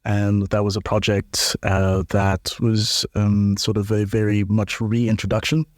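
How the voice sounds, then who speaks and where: Man, low, dry voice. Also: unhurried, no echo. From South Africa. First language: isiZulu. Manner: relaxed, explanatory, with many fillers and restarts. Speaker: guest, linguist and musician. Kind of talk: casual interview chat.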